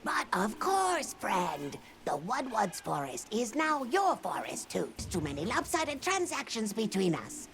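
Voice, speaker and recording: A cheeky little furry forest creature from a video game, speaks slowly in pompous broken English. The cartoonish voice swoops up and down, and music plays behind it. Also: female voice, fairly high pitch, wildly swooping pitch, a bit bright, exaggerated voice. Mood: haggling. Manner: pompous broken English with mangled big words. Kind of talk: video game cutscene acting.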